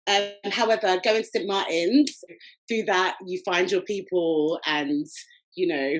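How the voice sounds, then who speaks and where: A woman's voice: fairly low pitch, a little slow, rough recording. A woman from Norfolk in England, speaking casually on a panel with many fillers. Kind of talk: panel discussion.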